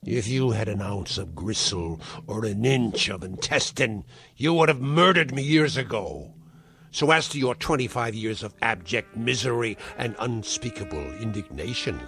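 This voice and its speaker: An actor playing a spiteful, frail old uncle in a vintage television drama, speaking in ornate, sardonic, theatrical phrases. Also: male voice, mid-range voice, expressive, a little slow, a bit bright, faint music behind. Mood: sardonic, mocking. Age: older.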